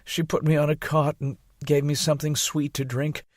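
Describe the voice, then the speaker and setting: A man reading an audiobook as narrator, telling a first-person story in an expressive, theatrical way on a close mic. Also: on the high side, moderate pace, no echo.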